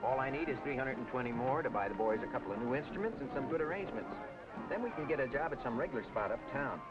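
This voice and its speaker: An eager, good-natured young man played by an actor speaks hopefully with a very lively, moving pitch. It is 1940s film acting, on a muffled soundtrack with music. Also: fast, natural voice. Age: young adult.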